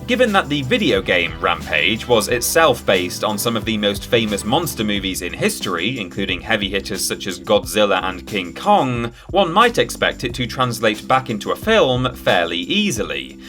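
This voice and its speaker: A man's voice: on the low side, big pitch swings, moderate pace, hardly any pauses, sharp tone. A man presenting a scripted countdown in a wry, tongue-in-cheek manner. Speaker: video presenter. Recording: music behind the voice. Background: background music with guitar.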